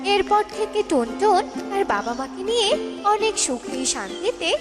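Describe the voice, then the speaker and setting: A woman tells a children's story in an extremely high-pitched voice. It is scripted cartoon narration, with music clearly playing behind her. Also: big pitch swings, a little slow, long reverb tail.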